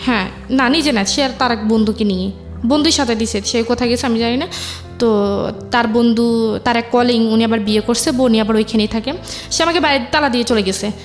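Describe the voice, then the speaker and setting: Higher-voiced young Bangladeshi woman telling her story. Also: fairly quick. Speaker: interview guest telling her life story. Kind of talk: conversational personal storytelling. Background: music.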